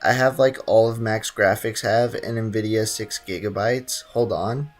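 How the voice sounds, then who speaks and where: A man's voice: on the low side, steady tone, a little slow, soft background music. A young man streaming a game casually, joking around and reading chat aloud.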